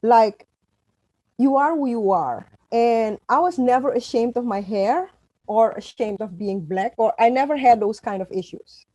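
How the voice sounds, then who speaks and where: A woman's voice: a little high, unhurried, dark tone, dry recording, low-quality recording. A middle-aged woman from Suriname, a native Dutch speaker, talking as an author in an interview, emphatic with frequent restarts. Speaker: author and guest. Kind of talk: conversational interview.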